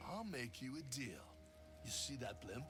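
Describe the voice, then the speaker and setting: A man's voice: medium pitch, sing-song, normal speed, loud music behind the voice, lightly acted. An actor playing a sardonic, commanding male guide in a video game. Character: gruff adult male rebel guide in a game.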